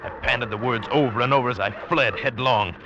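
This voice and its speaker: An actor playing a hunted, desperate but determined American adventurer in a tense 1940s radio drama. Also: male voice, mid-range voice, a little fast, close to an everyday voice. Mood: frantic, desperate. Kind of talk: 1940s radio acting, first-person dramatic narration. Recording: muffled, hissy 1940s radio recording.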